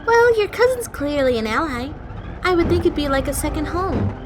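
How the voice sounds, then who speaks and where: A woman's voice: high-pitched, very animated, normal speed, noisy background. An actress playing a plucky, chatty young girl in an audio drama, breezy, wry and teasing. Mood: wry, coaxing.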